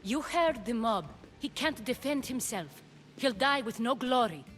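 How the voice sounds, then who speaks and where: A woman's voice: on the high side, expressive, measured pace, fairly bright tone, soft background music. An actress voicing a weary, anxious Athenian noblewoman in a video game, sounding tense and worried. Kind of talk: video game cutscene acting.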